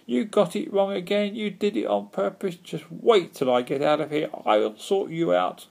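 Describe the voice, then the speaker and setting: A man's voice: high voice, moderate pace, vocal fry, a little mellow, voice pushed up. A man voicing an indignant, hot-tempered old witch who shrieks and scolds in a storybook tale. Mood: furious.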